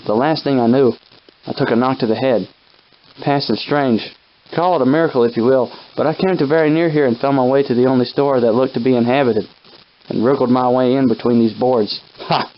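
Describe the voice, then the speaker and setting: Guy doing a folksy old timey soldier sidekick for a video game, mid pitched, plucky but rattled, kinda muffled lofi audio. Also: a little hiss or hum, lightly acted. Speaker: game character companion. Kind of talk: scripted video game character acting, old-time dialect.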